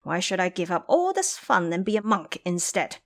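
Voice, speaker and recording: Woman playing a proud, animated monkey king. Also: lowish voice, normal speed. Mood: proud, defiant. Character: proud, free-spirited male monkey king, teasing and hot-tempered.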